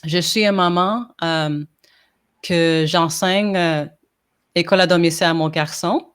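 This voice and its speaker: A woman's voice: on the low side, measured pace, fairly bright tone, clean tone. A woman from Montreal whose first language is English, a podcast guest talking in a casual interview, hesitant with many fillers and restarts. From Montreal, Quebec.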